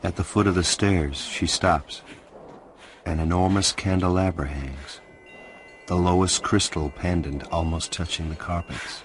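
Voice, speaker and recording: An actor playing a watchful, brooding hacker who narrates a radio drama in a hushed, terse, intense first person. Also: male voice, sits low, fairly even intonation, measured pace, a little hiss or hum.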